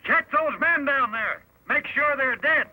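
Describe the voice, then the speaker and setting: An actor playing a ruthless, commanding outlaw chief who barks menacing orders in an old radio western. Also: male voice, very high voice, measured pace, sharp tone, heavily acted, rough recording. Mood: barking orders. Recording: hissy old radio broadcast recording.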